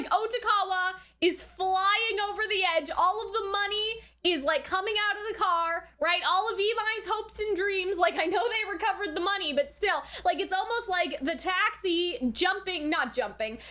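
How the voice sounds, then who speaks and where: A woman giving casual, chatty anime reaction commentary in an animated way, often adding "like" and checking in with the listener. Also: extremely high pitch. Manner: chatty, animated, frequent "like" and "right?". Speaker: anime reviewer.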